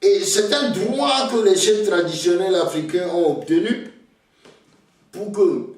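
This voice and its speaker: An older Togolese man whose first language is Ewe, speaking slowly and hesitantly, often repeating words as he starts a thought. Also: sits high, darkish tone, recorded from a distance. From Togo. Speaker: interviewee, elder. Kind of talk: slow reflective storytelling. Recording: very echoey room, voice off-mic.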